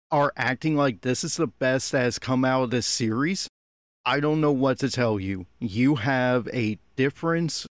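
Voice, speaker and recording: A man's voice: mid-range voice, no room sound. Exasperated man giving critical commentary, dry recording. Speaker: podcast host giving audio commentary. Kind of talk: critical reaction commentary on an anime episode.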